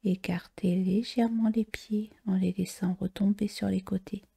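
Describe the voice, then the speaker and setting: A woman guiding a relaxation meditation in a soft, whispery, breathy voice, slow and soothing, with long pauses. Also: mid-range voice, steady tone. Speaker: yoga nidra relaxation guide. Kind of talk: guided meditation.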